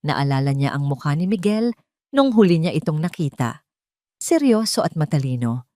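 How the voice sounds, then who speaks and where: A Filipino woman narrates a dramatic story from a script. Her voice sits fairly low, and the sound is dry and close. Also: sing-song, normal speed, warm tone, no echo.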